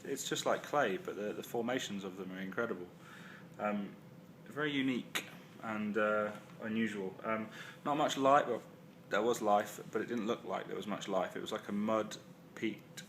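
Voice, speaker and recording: Low-ish guy rambling about his trip into a phone, lots of ums, faint hiss behind. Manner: hesitant, many ums and uhs, self-correcting. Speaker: travel vlogger. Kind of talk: casual rambling travel recap. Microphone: phone or handheld camera.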